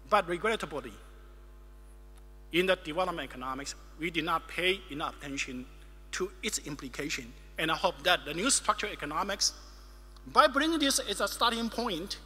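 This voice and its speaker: A middle-aged man giving an academic keynote lecture at a podium microphone in a large ornate hall, speaking emphatically in short measured phrases. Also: sits high, pushed and bright. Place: large ornate wood-panelled hall, indoors.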